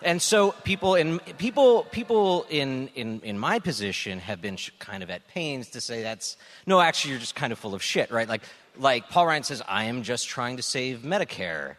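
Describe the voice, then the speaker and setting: A man's voice: mid-range voice, sing-song, moderate pace, few pauses, a bit bright. A man on a political panel delivering a wry, exasperated rant in conversational phrasing. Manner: wry, exasperated, acts out mock quotes. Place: stage in a live venue. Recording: echoey hall live recording.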